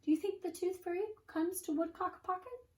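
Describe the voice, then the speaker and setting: A woman voicing a kindly, excitable male pig in a storybook, earnest and a bit fretful. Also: very high voice, fairly quick, far from the mic, pitched above the performer's own voice. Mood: worried. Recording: roomy echo, away from mic.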